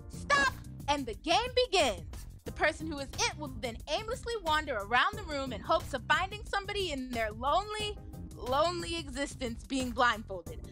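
A woman's voice: high-pitched, very animated, normal speed, over music. A woman presenting a scripted comic radio segment in a perky, theatrical way.

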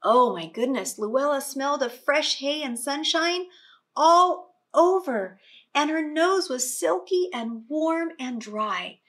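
A middle-aged woman reading a picture book aloud expressively and explaining it to young children as a teacher. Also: sits high. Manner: slow, expressive, explanatory, dramatic stresses.

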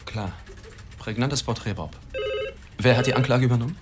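A man's voice: mid-range voice, normal speed, a little hiss or hum, natural voice. An actor playing a flippant, evasive newspaper reporter and family man in a wry radio drama style. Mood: wry, casual. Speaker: actor, lead and narrator. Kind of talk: radio drama dialogue and first-person narration.

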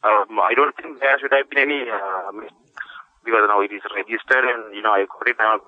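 A man's voice: fairly low pitch, brisk. Dull phone line, firm Sri man. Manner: firm, insistent. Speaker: customer on the phone. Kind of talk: phone conversation, complaint. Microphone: telephone.

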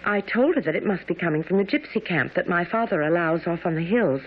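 A woman's voice: lowish voice, lively intonation, a little fast. An actress playing a distressed young Victorian lady, anxious and earnest, in a radio drama. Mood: anxious, recounting. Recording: dull, noisy old radio broadcast.

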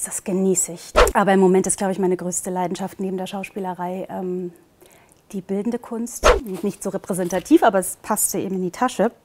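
A woman's voice: on the low side, darkish tone, a little hiss or hum. Chatty woman in her thirties. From Portugal. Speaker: actress introducing herself. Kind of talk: casual personal self-introduction to camera. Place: indoor studio with dark backdrop. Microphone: lapel mic.

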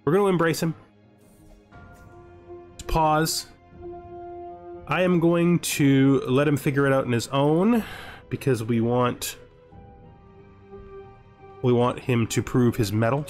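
A man's voice: mid-range voice, slow pace, long pauses, a little mellow, faint music behind. A man giving casual strategy game commentary as host, thinking aloud and reading event text. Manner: thinking aloud, asking himself questions. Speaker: let's-play host.

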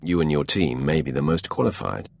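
An actor playing a commanding, imperious Sith lord in a video game, with a gravelly voice and formal, menacing delivery. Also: male voice, extremely low pitch, lively intonation, full character voice. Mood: commanding, composed. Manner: imperious, formal, measured wording. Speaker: military commander, player character. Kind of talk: video game dialogue acting. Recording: music under the voice.

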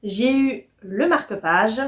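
A woman's voice: on the high side, animated, slow. A woman, chatting casually and enthusiastically to the camera as a book vlogger. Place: small furnished room at home, indoors.

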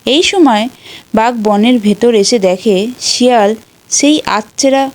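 A woman's voice: a little high, takes it slowly, a little mellow. A woman narrating a scripted children's folktale as a storyteller.

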